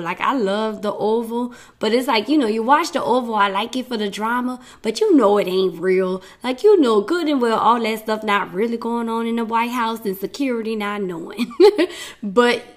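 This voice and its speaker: A woman hosting her own podcast, talking in a chatty, rambling way with frequent laughs while she is losing her voice. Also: fairly high pitch. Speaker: podcast host. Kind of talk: casual solo podcast talk.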